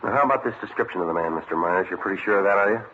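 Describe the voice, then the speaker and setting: An actor playing a terse, businesslike police sergeant in a 1950s radio drama, speaking in a clipped, deadpan way. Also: male voice, sits low, rapid-fire, natural voice. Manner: deadpan, clipped, matter-of-fact. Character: terse, businesslike male police sergeant narrating his case. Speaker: detective and narrator. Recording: scratchy, narrow-band vintage radio recording.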